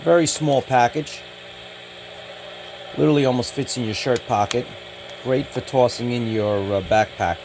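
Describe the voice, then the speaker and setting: Man, mid-pitched voice, casual reviewer, matter-of-fact, short clipped phrases, noisy home-made recording. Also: moderate pace, pauses often. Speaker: radio hobbyist reviewer. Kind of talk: casual unboxing and product review. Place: indoors.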